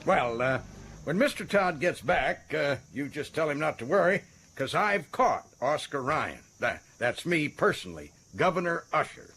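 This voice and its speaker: An actor playing a folksy, self-important American prison governor in a radio drama, chatty and stammering with excitement. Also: male voice, a little high, moderate pace, a little hiss or hum. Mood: excited, self-satisfied.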